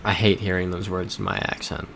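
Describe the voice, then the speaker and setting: A man's voice: low-pitched, little pitch movement, fairly quick, a bit bright, slight background noise. An American man streaming a map-guessing game, reading viewers' messages aloud and talking through his guesses. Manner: reads chat messages aloud and reasons through map clues. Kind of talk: casual live-stream chat.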